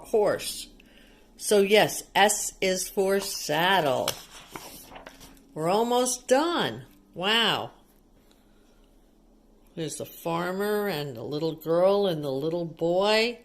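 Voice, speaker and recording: Woman doing a kids read-aloud, really slow, explains stuff simply and asks little questions. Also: medium pitch. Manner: child-directed, explaining and asking questions. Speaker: teacher reading a picture book to young children.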